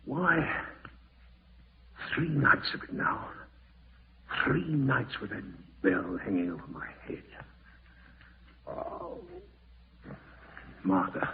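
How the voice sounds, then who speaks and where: A man's voice: medium pitch, creaky, full character voice. An actor playing a bitter, irritable man, grumbling and scornful in the overwrought style of 1940s radio horror. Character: bitter, irritable man resenting his wife and his lot. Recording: noisy, tinny old radio broadcast recording.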